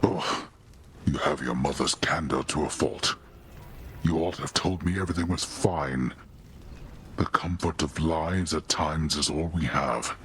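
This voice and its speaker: Brief: a forceful, deep-voiced king of the dead, stern and measured. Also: slight background noise. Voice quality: deep commanding voice. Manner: grave, formal, measured. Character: stern regal god king father. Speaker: game character. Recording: game audio with faint music.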